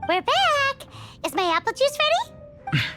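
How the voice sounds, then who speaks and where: A woman voicing a tiny, childlike, excitable fairy companion in a video game, cheeky and exclamatory. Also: very high voice, moderate pace, music in the background. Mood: cheerful. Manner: speaks of herself in third person, exclamatory. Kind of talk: video game character dialogue.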